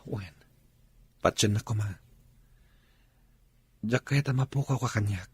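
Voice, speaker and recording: A man acting a lovelorn young suitor, earnest and pleading, with a rough voice in a radio drama. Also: on the low side, unhurried, no room sound. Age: young adult. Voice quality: rough, husky.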